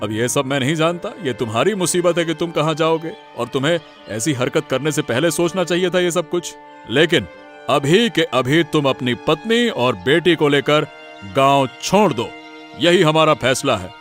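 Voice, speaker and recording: A man's voice: a little high, expressive, moderate pace, fairly bright tone, faint music behind. A man voicing a stern village boss in a cartoon who judges others with reproachful authority. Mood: stern judging. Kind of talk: Hindi moral-story cartoon voice acting.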